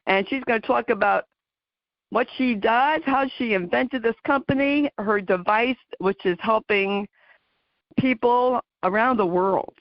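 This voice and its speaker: A woman hosting a radio talk show, introducing her guest and chatting warmly in the interview. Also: fairly high pitch, a little slow, dry recording, dull audio. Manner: warm, chatty, interjects with laughs.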